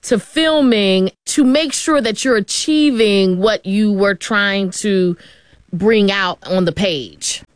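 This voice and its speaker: A woman's voice: medium pitch, slow pace, dry recording, studio quality. A woman hosting a public radio interview, asking questions in a conversational way. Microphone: studio microphone.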